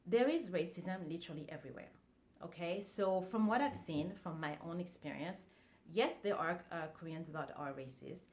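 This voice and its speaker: A woman talks straight to her viewers in an easy, chatty way. Her voice sits slightly low, and she stops and restarts her sentences often. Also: normal speed. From France. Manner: relaxed, conversational, many fillers and restarts. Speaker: vlogger. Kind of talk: casual talk to camera.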